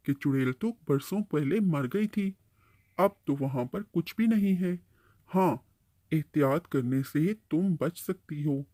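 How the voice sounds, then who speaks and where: A kindly uncle tree from a children's cartoon, acted by a man. He has a soft, mellow voice, a little high for a male, and advises patiently, like an elder explaining things to a youngster. The sound is close and dry. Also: no echo. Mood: encouraging. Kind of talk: Hindi-Urdu cartoon storytelling dialogue.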